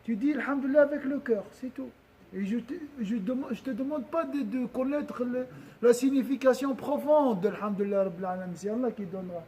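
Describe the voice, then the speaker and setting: A man's voice: extremely high pitch, a little fast, mellow, poor audio. A man giving a religious lesson to a gathering, often questioning his listeners and repeating and restarting phrases as he explains. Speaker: religious teacher.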